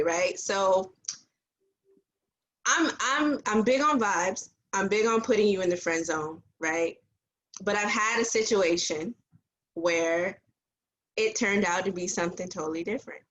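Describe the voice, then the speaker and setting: A woman's voice: medium pitch, a bit bright, slight background noise, low-quality recording. Straight-talking woman, 30s, podcast co-host, mid-range voice. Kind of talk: casual group chat.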